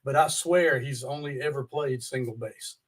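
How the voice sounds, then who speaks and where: A man's voice: medium pitch, normal speed, low-quality recording. A man hosting a podcast, talking in a loose, casual conversational style. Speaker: podcast host, interviewer. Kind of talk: casual interview chat.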